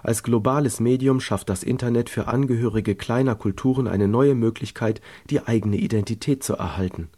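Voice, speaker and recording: A man reading a scripted radio feature in a calm, informative way. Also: medium pitch, brisk, few pauses. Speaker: radio narrator.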